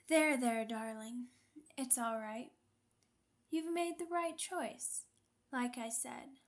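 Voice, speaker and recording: A woman performs a sadistic female vampire in an ASMR roleplay, her voice fairly high and very unhurried. She is seductive and commanding, speaking with teasing menace and condescending tenderness, as if to a possession. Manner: slow, deliberate, condescending pet names, teasing menace. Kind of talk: ASMR roleplay, intimate scripted monologue.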